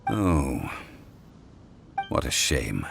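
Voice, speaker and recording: A man playing a calm, dignified scholarly consultant in a video game, speaking in a measured, formal way. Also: extremely low pitch, creaky, heavily acted. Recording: music behind the voice.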